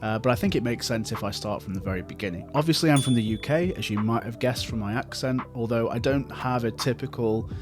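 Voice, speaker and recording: A man's voice: mid-range voice, fast talker, hardly any pauses, over music. A man in his forties from England with a light Stoke-on-Trent accent, telling his life story in a chatty vlog with self-deprecating asides. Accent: light Stoke-on-Trent English. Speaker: software developer vlogger.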